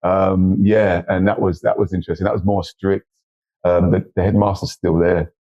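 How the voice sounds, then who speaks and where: A man in his forties with an East London accent, a counsellor chatting as a podcast guest in a relaxed, rambling way. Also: sits low, normal speed, dull audio. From East London, England. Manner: relaxed, rambling with restarts and frequent agreement. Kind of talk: casual interview chat.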